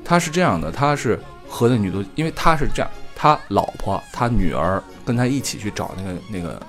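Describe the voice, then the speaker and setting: A man's voice: fairly low pitch, very animated, a little fast, soft background music. A man in his thirties, a podcast co-host, chatting casually and rambling with lots of fillers and restarts. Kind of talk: casual chat.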